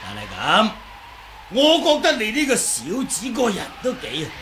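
High, swooping, garrulous old swordsman. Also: wildly swooping pitch, fairly bright tone, soft background music, exaggerated voice. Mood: boastful, teasing. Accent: Hong Kong Cantonese. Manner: chattering, teasing, drawn-out. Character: garrulous, boastful old martial artist, wily and comical. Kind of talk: 1980s radio drama acting. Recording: noisy old radio drama recording.

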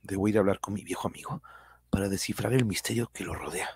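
A man playing a solemn, protective adventurer hero in a comic-book radio drama, breathy and dramatic. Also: deep voice, fast, exaggerated voice. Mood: grave, hushed. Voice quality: husky, breathy.

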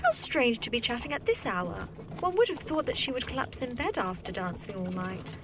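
An eager young woman, played by an actress in an audio drama. Her voice is high and swoops expressively. She comes across as practical and polite. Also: big pitch swings, normal speed, background noise. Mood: intrigued.

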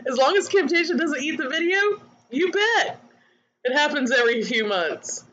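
A woman's voice: sits high, animated, moderate pace, fairly bright tone, muffled recording. A woman coaching online marketers in a webinar, chatty and candid as she reads out attendees' comments. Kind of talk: webinar teaching.

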